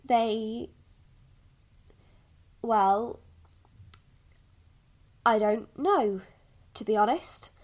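A woman playing a patient village mother in an audio drama, softly coaxing and a little evasive about the past. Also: fairly high pitch. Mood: hesitant, regretful.

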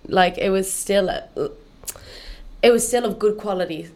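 A woman's voice: medium pitch, moderate pace. A young woman musician from Cuba who grew up in Dublin, chatting casually in an interview with lots of "like" and "um". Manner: relaxed, chatty, full of "like" and "um". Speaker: musician guest.

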